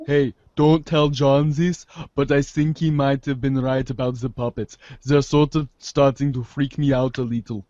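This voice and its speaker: A man's voice: medium pitch, level tone, moderate pace, hardly any pauses, warm tone, dry recording, lo-fi sound, lightly acted. A man playing a too-cool, rambling teenage slacker who is uneasy underneath, casual and sweary, in a fan theater reading. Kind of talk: read-along fan theater.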